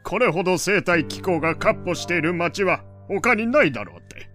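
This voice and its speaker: An actor playing an opinionated, kindly old gentleman in an audio drama, lecturing in old-fashioned elderly phrasing. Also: high-pitched, animated, normal speed, faint music behind. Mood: pleased, explaining. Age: older. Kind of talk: Japanese audio drama acting.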